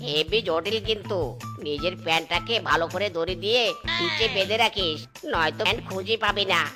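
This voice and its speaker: A woman's voice: on the low side, fairly quick, natural voice. Woman doing a theatrical, henpecked cartoon husband. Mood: comic, dramatic. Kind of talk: cartoon story acting. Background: music.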